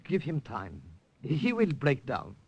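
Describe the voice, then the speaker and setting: Formal Siamese major. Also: male voice, mid-range voice, huge pitch swings, slow pace, creaky, husky, exaggerated voice. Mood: courteous. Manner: formal, courteous, unruffled. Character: polite, composed Siamese army major. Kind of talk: 1950s radio drama acting.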